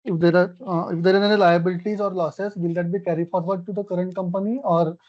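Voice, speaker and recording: An investor puts questions on a conference call. The delivery is polite and halting, in a medium-pitched voice. The line sounds muffled and lacks detail. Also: little pitch movement. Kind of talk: unscripted questions on an earnings call. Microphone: conference call connection.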